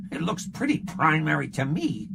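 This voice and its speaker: A man puts on a greedy alien barkeeper with a gravelly, wheedling voice that swoops wildly up and down. He jabs back with sarcasm in an audiobook scene. Loud noise hums under the whole recording. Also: a little high, very animated, a little slow, voice pushed up, heavily acted. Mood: sarcastic. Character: sly greedy male Ferengi barkeeper.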